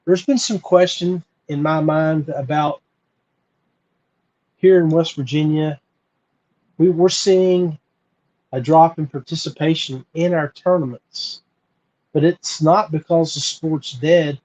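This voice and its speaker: An American man hosting a podcast, talking informally and earnestly with frequent pauses. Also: on the high side, fairly even intonation, darkish tone, dull audio. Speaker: podcast host. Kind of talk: informal solo podcast talk.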